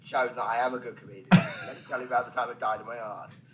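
A man's voice: medium pitch, steady tone, quick pace, a bit bright. A man speaking as a podcast guest, a stand-up comedian telling anecdotes conversationally with self-deprecating, wry asides.

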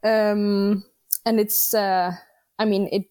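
A young woman from Greenland, a native Danish speaker, answering interview questions in English with many pauses and restarts. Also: medium pitch, level tone, warm tone, dry recording, clean studio sound. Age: young adult. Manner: hesitant, many fillers and restarts. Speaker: guest athlete. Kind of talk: casual interview.

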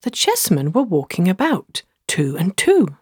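A woman's voice: fairly low pitch, huge pitch swings, unhurried, a little mellow, husky, no room sound, clean studio sound. A woman narrating a children's story as an audiobook reader.

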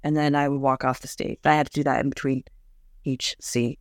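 A woman's voice: very deep voice, flat delivery, brisk, dry recording, clean studio sound. A woman co-hosting a podcast, telling chatty anecdotes in a casual way. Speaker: podcast co-host. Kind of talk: casual chat.

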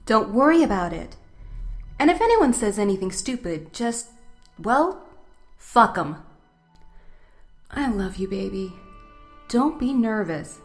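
Woman playing a lively, fussing protective mom. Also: medium pitch, slow, pauses often, faint music behind. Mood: fond, concerned. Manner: fussing, affectionate, blunt. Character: protective, teasing mother of a blind college student. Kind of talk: audio drama acting.

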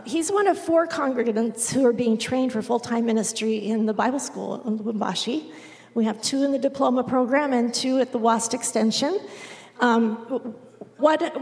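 A woman giving an unhurried missionary report to a congregation, pausing often with "um". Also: on the high side, creaky, darkish tone. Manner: unhurried, with frequent "um" pauses. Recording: long reverb of a large hall.

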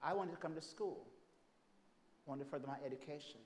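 A man with a Jamaican accent preaching a sermon, moving between loud, emphatic declarations and soft, hushed storytelling. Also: a little high, fairly quick. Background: congregation calling out amens.

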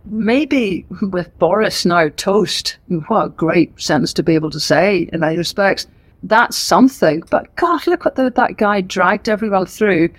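A middle-aged woman with a Northern Irish accent, an author giving a lively, emphatic book talk to a live audience in a library. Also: medium pitch, unhurried, no echo. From Northern Ireland. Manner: lively, emphatic and conversational, with asides. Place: library event room.

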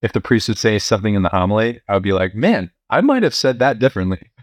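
A young man, a priest, telling his story in a relaxed interview, with fillers and restarts. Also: fairly low pitch, quick pace, no echo. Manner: relaxed, many fillers and restarts, occasional laughs. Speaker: priest and university chaplain.

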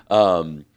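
A hoarse man with a raspy voice, co-hosting a podcast in earnest, reflective casual chat. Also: lowish voice. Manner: earnest, reflective, restarts often. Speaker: podcast co-host.

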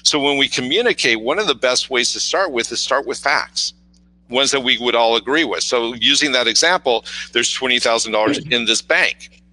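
A man's voice: mid-range voice, moderate pace, pushed and bright, no room sound, low-quality recording. A man with an authoritative voice, talking as a podcast guest in an emphatic, conversational, explanatory way. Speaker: podcast guest on mindset and communication.

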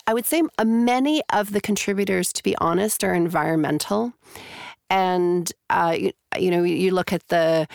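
Middle-aged woman, Canadian accent, gravelly, dry sound, interview guest. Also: lowish voice, dry recording. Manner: thoughtful, many fillers and restarts. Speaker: psychology professor and guest. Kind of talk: interview answers.

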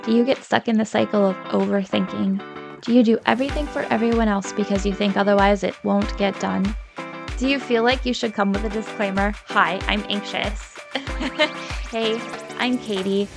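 A woman's voice: medium pitch, steady tone, normal speed, creaky, over music. A woman in her thirties hosting a podcast, tired and a little scratchy, talking sincerely and encouragingly straight to her listeners. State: tired from lack of sleep. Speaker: podcast host. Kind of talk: podcast monologue.

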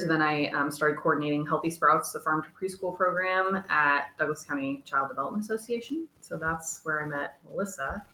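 A woman from Kansas, a nonprofit director, talking in a relaxed way with many pauses and ums. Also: low voice, roomy sound, slight background noise, rough recording. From Kansas, USA. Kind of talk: casual interview.

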